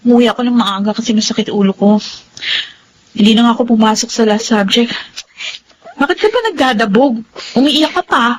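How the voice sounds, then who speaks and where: Casting: woman playing student big sister, highish voice, prying and bossy, radio soap, faint hiss. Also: brisk, lightly acted, rough recording. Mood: irritated. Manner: prying, teasing. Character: suspicious, bossy young older sister, a student who teases and threatens. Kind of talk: Filipino radio drama acting.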